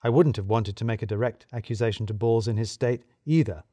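A man narrating a scripted first-person story in a wry, expressive manner. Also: lowish voice, fairly quick, dry recording.

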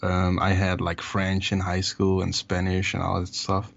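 Man, twenties, Dutch accent, non-native English, very deep voice, halting and relaxed, muffled call sound. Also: creaky. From Netherlands. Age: 20s. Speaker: musician guest. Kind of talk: casual interview over a call. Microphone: call audio.

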